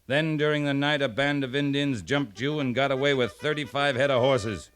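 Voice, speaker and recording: A man's voice: medium pitch, moderate pace, a bit bright, clean tone, natural voice. Man playing a stern, clipped American cavalry captain. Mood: stern rebuke. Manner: clipped, commanding, military. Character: stern, tough male cavalry captain, loyal to his men. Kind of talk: 1950s radio western drama acting. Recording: 1950s radio drama recording.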